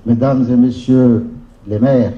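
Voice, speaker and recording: A man giving a formal welcome speech as a local official, deliberate and halting between short phrases. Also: mid-range voice, fairly even intonation. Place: large room at a public conference. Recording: dull, echoey conference hall PA sound.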